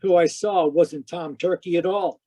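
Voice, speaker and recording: An actor playing a hard-boiled private detective in old-time radio style, wry, clipped and matter-of-fact. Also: male voice, high-pitched, steady tone, a little slow, warm tone. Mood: tense narration. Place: home, live video call performance.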